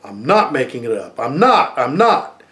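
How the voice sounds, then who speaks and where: A man reading a storybook voices an earnest, defensive little boy who speaks haltingly and insistently. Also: mid-range voice, sing-song, fairly bright tone. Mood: fierce, defensive.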